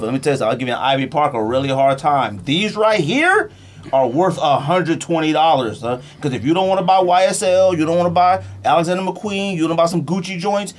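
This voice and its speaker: A man chatting casually about sneakers in an animated, emphatic, slangy way, like a podcast co-host. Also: a little high. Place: podcast studio.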